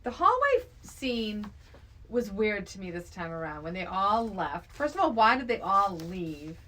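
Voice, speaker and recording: A middle-aged woman with a medium-pitched voice chats casually. She is emphatic and slips into quoting dialogue as she talks. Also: big pitch swings, unhurried, sharp tone. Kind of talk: casual film discussion.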